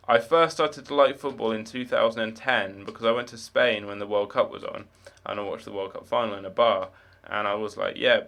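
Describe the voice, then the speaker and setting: A man's voice: lowish voice, vocal fry, fairly bright tone. A young man with no marked accent, chatting casually while live-streaming a game, rambling with many fillers and restarts.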